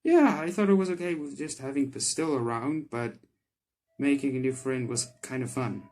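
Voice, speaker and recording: A man's voice: medium pitch, animated, moderate pace, darkish tone, close to an everyday voice. Man reading a dry, teasing game character. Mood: amused, softening. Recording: background music under the voice.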